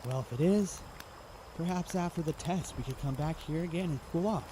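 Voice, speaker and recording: A man playing a young knight in a fanmade audiodrama, quiet and dutiful, speaking in a subdued, earnest, measured way. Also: on the high side, slight background noise, lightly acted. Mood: gentle, caring. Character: young male knight, quiet, burdened, dutiful, gently caring. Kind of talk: audiodrama character acting.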